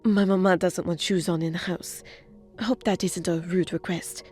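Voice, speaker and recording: A woman playing a gentle, earnest and hesitant young lady in a romantic audio drama. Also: on the low side, fairly even intonation, normal speed, soft background music, natural voice. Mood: polite, curious. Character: sweet, shy, earnest young woman, a seamstress.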